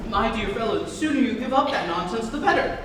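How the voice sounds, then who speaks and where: A young man acting as an exasperated, indignant Victorian gentleman in an emphatic theatrical comedy reading. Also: very high-pitched, lively intonation, quick pace, exaggerated voice. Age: young adult. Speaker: student performer in a dramatic reading. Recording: very echoey hall, distant, noisy.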